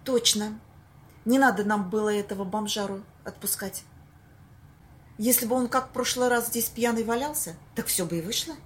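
An amateur actress playing a skeptical, bossy and impatient friend in an audio play. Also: female voice, mid-range voice, moderate pace, slight background noise, close to an everyday voice. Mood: exasperated.

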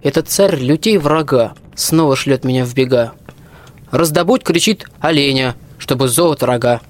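A man's voice: medium pitch, moderate pace, natural voice. A man playing a plain-spoken, cheeky peasant soldier in a rhymed fairy-tale radio play. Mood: gloomy, aggrieved. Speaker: student radio-play actor. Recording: reverberant hall, music underneath.

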